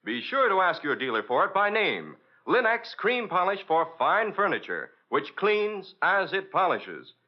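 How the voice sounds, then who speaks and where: A man's voice: high voice, sing-song, a little slow, few pauses, highs cut off. A man with an American accent announcing in a polished, emphatic 1940s radio sponsor style, on an old broadcast recording.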